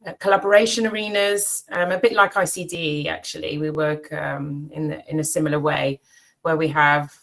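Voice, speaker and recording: A British woman in middle age speaks in a low voice with a gravelly edge. She talks through an unscripted presentation in a thoughtful way, pausing often with ums and restarting her sentences. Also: fairly even intonation. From Britain and Cyprus. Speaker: CEO of an education organization.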